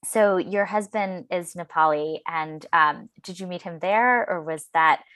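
A woman with a very low voice leads an interview, asking calm, measured questions. Her recording is dry and close, with no room sound around it. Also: dry recording.

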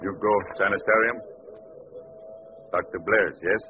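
An actor playing a huge, menacing West Indian chauffeur who speaks terse broken English, in 1940s radio style. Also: male voice, fairly low pitch, fairly even intonation, a little slow, over music, close to an everyday voice. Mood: curt, looming. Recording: noisy muffled old radio recording.

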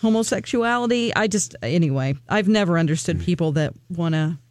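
A woman's voice: fairly low pitch, a little fast, dry recording. Chatty female radio co-host, dry sound. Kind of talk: casual news chat on air.

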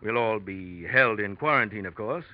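An actor playing an affable, gently bossy ship's doctor in a 1940s radio drama, chatty and unhurried. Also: male voice, lowish voice, animated, close to an everyday voice. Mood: matter-of-fact. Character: affable, talkative male ship's doctor, quietly insistent. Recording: dull old radio recording.

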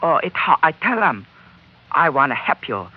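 A man playing a helpful Chinese trader in a vintage radio adventure, with a put-on Chinese accent and halting, deferential pidgin. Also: fairly high pitch, sing-song, husky. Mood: solicitous. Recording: dull old radio broadcast.

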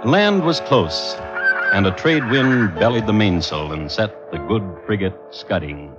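An actor playing a wry, genteel crime connoisseur, narrating with dry, measured delivery as a radio host. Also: male voice, fairly low pitch, expressive. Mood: solemn, wry. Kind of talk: 1950s radio scripted narration. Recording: muffled old radio recording, music behind.

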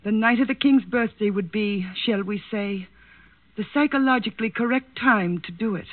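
A woman's voice: medium pitch, normal speed, no room sound, close to an everyday voice. A woman acting a poised, calculating countess, speaking in a refined, formal way in a 1940s radio drama. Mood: cool, calculating. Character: poised, calculating aristocratic countess, coolly composed. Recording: tinny 1940s radio broadcast recording.

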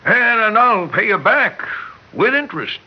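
A man's voice: high-pitched, big pitch swings, a little slow, breathy edge, full character voice. A man acting in a classic radio drama as a chummy, folksy car repairman with a genial manner. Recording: muffled old radio recording with hiss.

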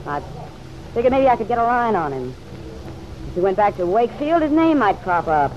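A woman's voice: medium pitch, sing-song, brisk, natural voice. A muffled vintage radio recording with faint noise carries an actress as a hard-boiled American woman. She is defiant and sardonic, snapping out curt slang in classic radio drama style. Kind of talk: old-time radio drama acting.

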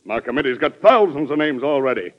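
An actor playing an eager, obsequious political organizer in an old radio drama, on a muffled vintage recording. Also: male voice, mid-range voice, animated, a little fast, lightly acted. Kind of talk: 1940s radio drama acting.

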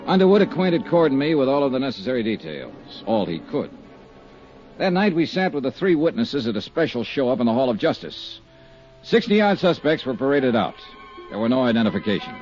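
A man's voice: medium pitch, big pitch swings, brisk, a little mellow, faint music behind, lightly acted. An actor playing a wry, hard-boiled male insurance investigator who narrates and speaks in dry, easygoing tones, in 1950s radio drama style. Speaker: narrator and lead. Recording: muffled 1950s radio broadcast.

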